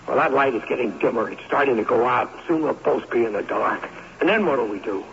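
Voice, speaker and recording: A man's voice: mid-range voice, a little fast, gravelly, a bit bright. A man acting in an old-time radio drama as a weary, bitter former college athlete in his forties, theatrical and brooding. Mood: bitter despair. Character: bitter, weary former college sports star turned adman. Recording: muffled, hissy old radio recording.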